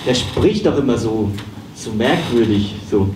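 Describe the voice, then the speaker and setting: A man's voice: mid-range voice, lively intonation, unhurried, a little mellow, lightly acted. A man playing a muddled, ranting psychotherapist who stammers and exclaims in a live comedy radio play. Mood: doubtful. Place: small dark theatre stage, live. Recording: echoey, noisy stage recording from far off.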